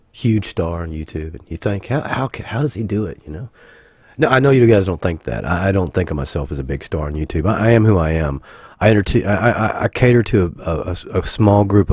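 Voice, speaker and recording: Husky man getting over the flu. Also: sits low, darkish tone, dry recording, studio quality. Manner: rambling, self-correcting, joking asides. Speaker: YouTube gaming channel host. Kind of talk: casual anniversary vlog talk.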